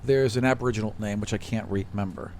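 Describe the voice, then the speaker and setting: A man's voice: on the low side, quick pace, a little mellow, a little hiss or hum. A man narrating a tabletop game as game master, casual and conversational, improvising explanations as he goes.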